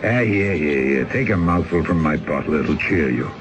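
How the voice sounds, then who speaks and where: A man's voice: extremely low pitch, expressive, moderate pace. An actor playing a weary, fatherly exile boatman in his fifties, ruminative in an old-time radio drama. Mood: fatherly concern. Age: 50s. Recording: old radio recording with music.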